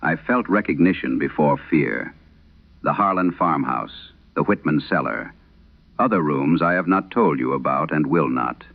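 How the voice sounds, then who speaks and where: A male actor as a haunted old Irish priest confessing in a horror radio play. His low voice is gravelly and grave, rising and falling dramatically as he speaks in measured, solemn phrases. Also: expressive, heavily acted. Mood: dread, brooding. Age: older. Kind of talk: dramatic first-person horror radio monologue. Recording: dull lo-fi vintage radio sound.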